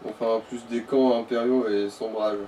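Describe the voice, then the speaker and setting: A man's voice: lowish voice, level tone, normal speed, mellow, recorded from a distance. A man making brief, casual comments as a co-commentator during a video game session. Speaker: co-commentator beside the player. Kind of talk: casual chat, brief interjections. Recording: muffled, off-mic, with background noise.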